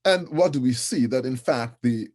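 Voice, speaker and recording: A middle-aged man from Trinidad and Tobago giving a conference lecture in a conversational way, often checking in with "right?" and filling pauses with "um". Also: mid-range voice, darkish tone. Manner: conversational, with frequent tag "right?" and fillers.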